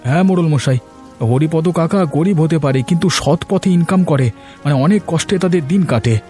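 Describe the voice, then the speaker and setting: A man's voice: fairly high pitch, moderate pace, mellow, faint music behind, natural voice. A man playing an earnest young villager. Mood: caring. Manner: earnest, pleading. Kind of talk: cartoon voice acting.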